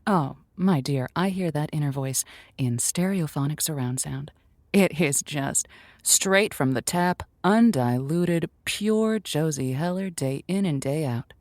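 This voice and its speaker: An effusive lonely-hearts radio host in an audio comedy. Her voice sits a little low and is recorded dry. She gushes and stumbles over repeated words, earnest and easily flustered. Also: lively intonation, darkish tone, no echo. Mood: flustered, upbeat. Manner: effusive, halting with stammers and repeats. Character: gushing, flustered woman hosting lonely-hearts radio show. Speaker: radio call-in advice show host.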